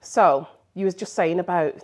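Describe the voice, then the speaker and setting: A woman's voice: fairly low pitch, moderate pace, a little mellow. A middle-aged British woman doing a casual, chatty radio interview in an informal, giggly, encouraging way.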